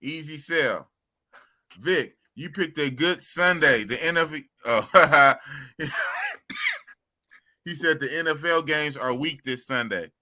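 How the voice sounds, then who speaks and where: A man hosting a live stream, talking loudly and casually with a humble manner. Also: on the high side. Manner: loud, slow drawl, humble.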